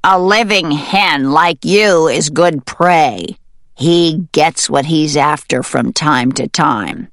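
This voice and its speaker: A woman voicing a brave, gruff male mallard duck in a storybook, a protective loner who talks bluntly and with command. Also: deep voice, slow, a bit bright, dry recording, studio quality, full character voice. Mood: grave warning.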